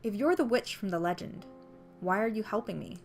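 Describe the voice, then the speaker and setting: A woman's voice: medium pitch, animated, a little fast, gravelly. Woman as young male hero, meek, wary, sincere, visual novel dialogue, background music. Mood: curious, sincere. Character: meek, wary, good-natured boy lost in forest. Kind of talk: visual novel protagonist read aloud.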